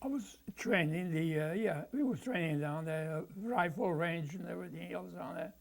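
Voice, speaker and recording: A man, speaking haltingly in an oral history interview about his life. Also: high-pitched, creaky. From Croatia. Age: 90s. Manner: halting, with many pauses and fillers. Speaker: veteran being interviewed.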